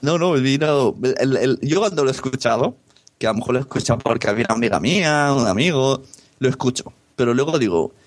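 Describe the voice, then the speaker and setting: A man from hosts a relaxed podcast interview. He muses and rambles with chuckles, at a middle pitch, and the recording sounds close and dry. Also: quick pace, no echo. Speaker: podcast host. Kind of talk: casual interview chat.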